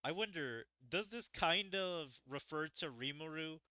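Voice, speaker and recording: A man's voice: a little high, expressive, unhurried, pushed and bright, no echo, clean studio sound. A man streaming a story game, reading its dialogue aloud theatrically and musing on it in asides.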